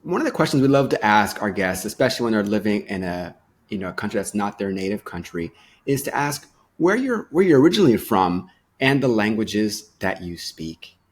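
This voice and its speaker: A man's voice: on the low side, sing-song, fairly quick. A man hosting an interview podcast, reading a polished intro and then asking questions in an easygoing, earnest way. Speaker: podcast host.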